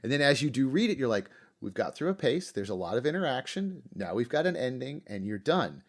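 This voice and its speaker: A man's voice: mid-range voice, big pitch swings, a little fast. Middle aged guy hosting a podcast, casual chatty, does little imagined reactions while telling stuff. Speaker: podcast host. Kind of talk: casual interview chat.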